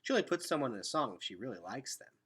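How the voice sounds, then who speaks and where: A man voicing an earnest, kind young boy who talks in a casual, halting way, in a children's storybook. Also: mid-range voice, very animated, fairly bright tone, breathy edge, exaggerated voice. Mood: kindly. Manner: informal, halting explanations. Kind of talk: children's novel read-aloud.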